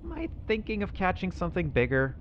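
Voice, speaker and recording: A man's voice: high-pitched, wildly swooping pitch, fast talker, lo-fi sound, a little hiss or hum. A man roleplaying a character over gameplay, thinking aloud to himself as he goes. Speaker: roleplaying gamer. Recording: echoey reverberant room with faint music.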